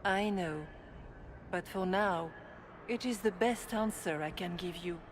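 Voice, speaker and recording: A woman playing a calm spirit in the form of an elderly holy woman, speaking in a measured, formal way in a game cutscene. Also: lightly acted. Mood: solemn, guiding. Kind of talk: video game cutscene acting. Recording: noisy game capture with rumbling ambience.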